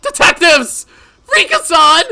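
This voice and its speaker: A paranoid teenage girl, played by a man, suspicious and easily frightened. The voice is tense and very sharp, with pitch that leaps around and music underneath. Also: wildly swooping pitch, measured pace, full character voice. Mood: terrified. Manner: accusing, stammering, shrieking when cornered.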